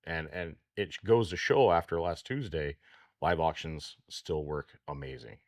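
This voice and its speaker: A man's voice: very deep voice, measured pace, dry recording, clean studio sound. A man co-hosting a farm talk show, chatting folksily with repeated words. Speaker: co-host, auction company staff. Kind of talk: casual radio talk.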